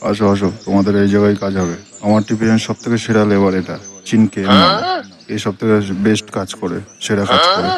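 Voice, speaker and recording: A helpful older labour boss from a comedy cartoon, acted by a man talks quickly in an even, little-varying tone. His speech is colloquial, avuncular and businesslike. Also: lowish voice, lightly acted. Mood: businesslike, instructing.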